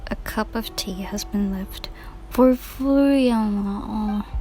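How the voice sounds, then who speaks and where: A woman's voice: mid-range voice, takes it slowly, warm tone, faint music behind. A young adult woman giving casual, slangy, reactive commentary while playing a video game.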